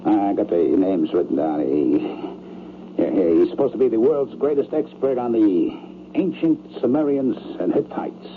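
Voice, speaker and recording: An actor playing a blunt, uneasy rich man in an old-time radio drama, with halting, defensive, streetwise speech. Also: fairly low pitch, lightly acted. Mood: earnest confiding. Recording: tinny, noisy old radio broadcast.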